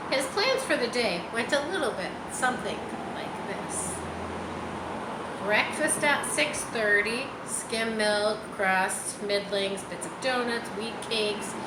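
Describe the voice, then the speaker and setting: Female storybook reader, higher-pitched, very measured pace, talks to the listener, roomy recording. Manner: unhurried, explanatory, talking directly to listeners. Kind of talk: read-aloud children's story with friendly asides. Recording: roomy echo, loud vehicle-like background noise.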